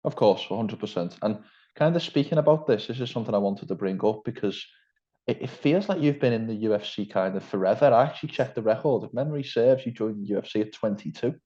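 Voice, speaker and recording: A man's voice: on the low side, animated, quick pace, dark tone, dull audio. A man with a Liverpool accent interviewing a guest with long, hedging questions. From England. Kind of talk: interview over a call.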